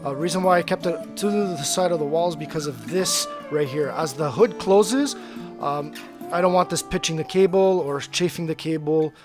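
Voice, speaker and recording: A man's voice: a little high, moderate pace, music in the background. Casual man explaining his truck install. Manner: relaxed, rambling, many filler restarts. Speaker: vlogger installing a CB radio. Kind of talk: casual how-to narration. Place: parking garage beside his truck.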